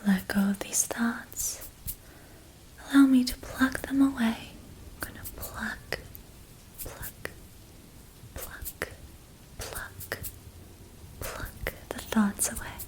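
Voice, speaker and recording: Slow, softly whispering woman. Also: on the high side, fairly even intonation, poor audio. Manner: soft unvoiced whisper, slow repetitive soothing phrasing. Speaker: ASMR creator. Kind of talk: ASMR guided reiki relaxation.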